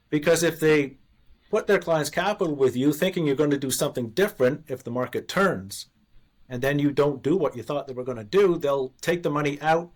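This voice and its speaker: A man in his sixties from Canada, a former investor being interviewed, telling his story in a candid, earnest way. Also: medium pitch. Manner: candid, earnest, restarts and self-deprecating laughs. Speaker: guest, former investor and author.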